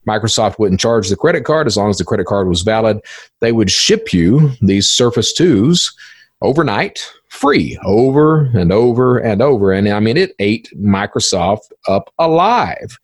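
A man's voice: on the low side, lively intonation, moderate pace, breathy edge, dry recording, studio quality. A man hosting a podcast in a casual interview style, speaking bluntly and colloquially with some swearing. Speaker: podcast host.

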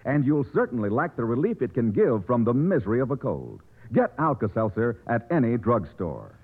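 A thin, narrow-band old radio recording of an American man. He reads a scripted 1940s commercial as an announcer, at a medium pitch with very animated intonation. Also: normal speed, husky.

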